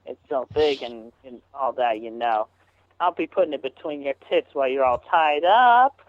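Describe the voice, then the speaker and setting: A man on a phone call, talking haltingly with many fillers and restarts. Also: mid-range voice, lively intonation, dry recording. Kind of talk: rambling phone conversation. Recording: tinny phone line.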